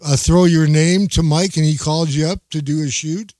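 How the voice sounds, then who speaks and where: A man's voice: fairly high pitch, steady tone, normal speed, dark tone, dry recording. Older guy, early 70s, easygoing interviewer, dry close sound. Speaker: interviewer, host. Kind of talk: casual interview chat.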